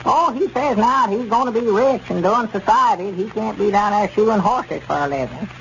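An old-time radio recording, muffled and noisy, carries an older country storekeeper with a high voice. He has a rural Southern drawl and a homespun, plain-spoken way, easily puzzled and prone to grumble. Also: normal speed, gravelly, fairly bright tone. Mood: matter-of-fact. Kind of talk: 1930s radio comedy acting.

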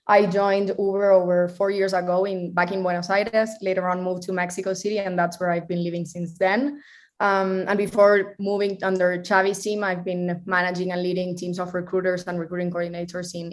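A woman from Argentina, a guest on a podcast interview, describing her work in an even, steady way. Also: on the low side.

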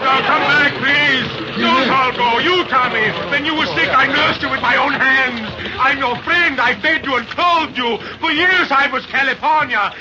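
An actor playing a desperate pioneer landowner, impassioned and imploring, in 1930s radio drama style. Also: male voice, very high voice, normal speed, hardly any pauses, a loud crowd. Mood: desperate pleading. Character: desperate pioneer landowner pleading with deserting workmen. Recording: muffled, hissy 1930s radio recording.

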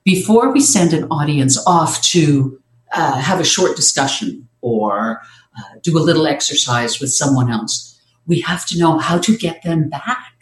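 A speaking coach lays out advice in a measured, explanatory way, pausing now and then to find a word. The voice sits at a middling pitch. The recording carries a noticeable roomy echo. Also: lively intonation. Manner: measured, explanatory, occasional hesitations. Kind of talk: instructive talk on presenting.

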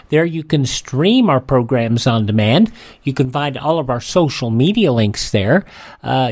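A man's voice: a little high, darkish tone, dry recording, clean studio sound. A man hosting a radio program, giving a courteous, folksy scripted sign-off. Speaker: radio show host.

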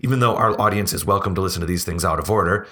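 A man hosting a podcast, talking casually and laughing often, his voice hoarse as if he is losing it. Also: sits low, racing, a bit bright. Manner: chatty, laughing, rambling restarts. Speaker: podcast host.

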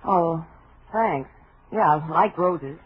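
A woman's voice: sits low, takes it slowly. Brassy showgirl, crackly old radio. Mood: flustered. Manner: slangy, sassy. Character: brassy, slangy young woman vaudeville stage performer. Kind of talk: 1940s radio drama acting. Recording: muffled, noisy old radio recording.

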